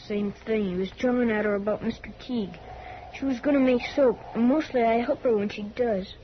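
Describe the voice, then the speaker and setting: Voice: medium pitch, fairly quick, natural voice. A performer playing an earnest eight-year-old farm boy with folksy, rustic country talk, in 1950s radio western style. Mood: earnest, troubled. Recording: muffled, faintly noisy vintage radio recording.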